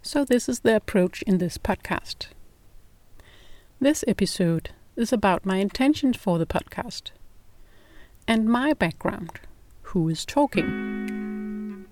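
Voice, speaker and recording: A middle-aged woman from Denmark, speaking English with a Danish accent as a non-native speaker, hosting a podcast in a calm, measured, scripted narration. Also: mid-range voice, soft background music. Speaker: podcast host.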